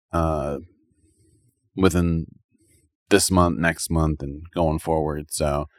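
A man's voice: sits low, no echo, clean studio sound. A man in a casual, rambling chat about video games as a podcast co-host, speaking colloquially with lots of "like".

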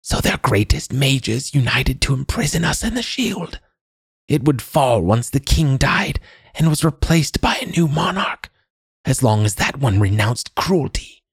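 Casting: ragged old crone mage played by a male narrator, high, breathy croak, secretive and bitter, fantasy audiobook. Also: sing-song, no echo, studio quality, full character voice. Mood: eager, spilling out. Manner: halting fragments, then eager outpouring. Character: hunched ragged old woman, eager, bitter outcast mage.

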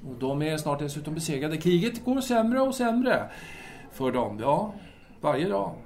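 A man's voice: on the high side, sing-song, a little mellow, slight background noise. A man playing a detached, placating philosopher in an audio drama, hesitant and repeating himself as he reasons abstractly.

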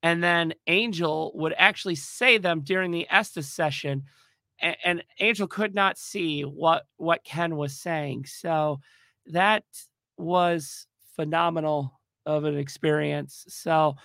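A man hosting a livestream chat, hoarse from a cold, rambling with lots of fillers and restarts. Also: fairly high pitch, takes it slowly, pushed and bright, no echo. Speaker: podcast host. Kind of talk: casual livestream talk.

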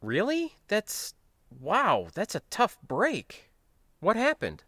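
A man's voice: high voice, huge pitch swings, takes it slowly, sharp tone, dry recording, studio quality, pitched above the performer's own voice, full character voice. A man voicing a middle-aged male cargo worker in an audiobook story, earnest, surprised and full of questions. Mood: surprised, curious.

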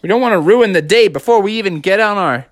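A man voicing a courteous, bossy father bear in a kids' story, speaking deliberately and emphatically. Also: high-pitched, animated, no echo, studio quality, pitched above the performer's own voice. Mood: calming, stern.

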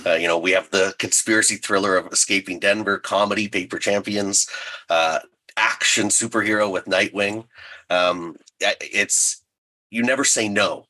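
A man who writes for a living, chatting as an interview guest in a measured, dry-humoured way. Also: lowish voice, sharp tone. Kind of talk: casual interview chat.

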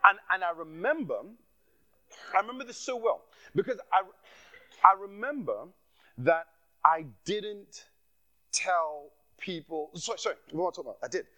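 A man from Nigeria delivers a sermon as a guest preacher, with a voice pitched a bit high for a man. He preaches with passion, circles back to repeat his phrases for emphasis and laughs at himself. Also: animated, normal speed, pauses often, pushed and bright, poor audio. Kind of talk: sermon with personal testimony.

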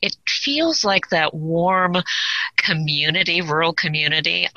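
A woman's voice: fairly low pitch, a little slow, bright, forceful tone, dry recording. A chatty, upbeat Californian woman talking casually as an interview guest, often amused at herself. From Southern California, United States. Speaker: podcast guest, author. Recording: dry, slightly dull audio.

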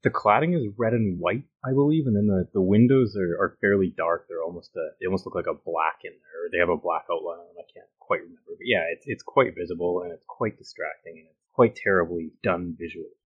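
A man's voice: sits low, quick pace, few pauses, slightly rough, narrow, tinny audio. A man co-hosting a conversational podcast, with an understated, dry manner. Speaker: podcast co-host.

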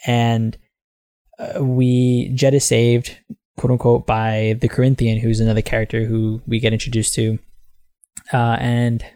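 A man's voice: medium pitch, monotone, a little slow, mellow, dry recording. A man co-hosting a comics book club podcast, recapping the story in a rambling way and laughing often. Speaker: podcast co-host. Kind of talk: casual book club discussion.

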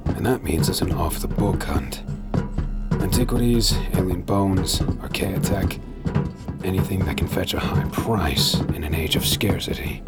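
An actor playing a hardened pirate scavenger in an audio drama, narrating in a grim, measured first person. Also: male voice, deep voice. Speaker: narrator and lead character. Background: loud music.

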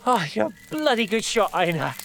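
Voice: medium pitch, sing-song, brisk, gravelly, fairly bright tone. An actor playing a winded wartime railway saboteur on the run in a naturalistic audio drama. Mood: giddy, elated. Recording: noisy outdoor scene sounds. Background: outdoor nature noise.

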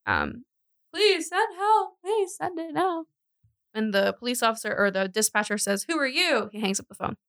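A woman with a bright, forward voice recorded close and dry. She tells true crime as a casual podcast host, chatting in a jokey, giggly manner. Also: fairly high pitch, sing-song, moderate pace, no echo.

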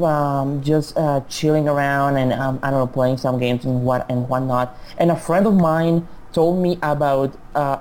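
A man's voice: medium pitch, a little mellow. A man in his twenties from Mexico, a native Spanish speaker with a Mexican accent, answering podcast interview questions politely and hesitantly, with frequent restarts. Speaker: game studio guest.

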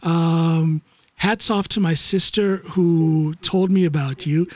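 A man's voice: fairly high pitch, fairly even intonation, slow pace. A middle-aged or older man with an American accent, hosting a casual, conversational podcast interview. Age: 50s or older. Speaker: podcast host.

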